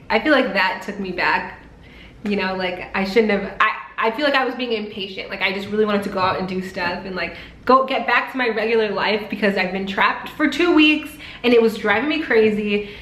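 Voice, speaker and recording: A woman's voice: medium pitch, a bit bright, roomy sound, poor audio. A young woman vlogging casually to camera, chatty and full of "like", her voice a bit strained while she heals from throat surgery. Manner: chatty, peppered with "like". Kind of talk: casual vlog talk to camera.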